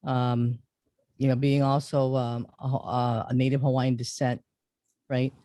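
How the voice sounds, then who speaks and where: A man hosting an interview show, welcoming a guest and asking questions in a halting, loose way. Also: mid-range voice, level tone, mellow, dry recording, clean studio sound. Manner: halting, full of fillers and restarts.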